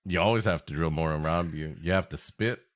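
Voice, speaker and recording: A man's voice: deep voice, fairly quick. A man co-hosting a comedy podcast, bantering playfully in casual chat and often laughing.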